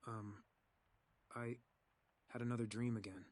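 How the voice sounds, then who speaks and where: A man's voice: mid-range voice, flat delivery, unhurried, darkish tone, close to an everyday voice. An actor playing a troubled young man haunted by recurring dreams, speaking in a subdued, hesitant way. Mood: wistful. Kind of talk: voiced game dialogue.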